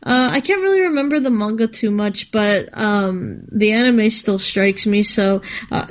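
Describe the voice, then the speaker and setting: A woman's voice: medium pitch, a little slow. A woman co-hosting a casual, unscripted chat, talking in an informal, slangy way and often thinking aloud as she answers. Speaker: co-host answering viewer questions.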